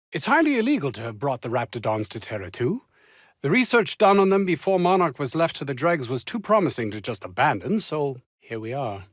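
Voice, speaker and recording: An actor playing a haughty, anxious, ambitious lead scientist in a video game, with formal, pompous, fussy diction. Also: male voice, on the high side, no room sound, studio quality. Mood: formal, regretful. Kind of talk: scripted video game character dialogue.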